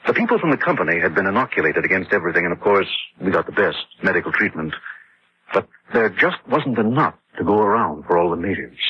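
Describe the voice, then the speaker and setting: An actor playing George, a husky-voiced engineer and husband who narrates and acts in a radio horror drama. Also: male voice, lowish voice, a little hiss or hum. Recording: dull lo-fi radio drama recording.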